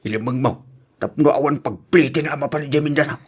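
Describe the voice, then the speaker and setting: A woman's voice: very deep voice, a little fast, darkish tone, husky. A woman playing a grumbling, hot-tempered and resentful family member in an Ilocano radio drama.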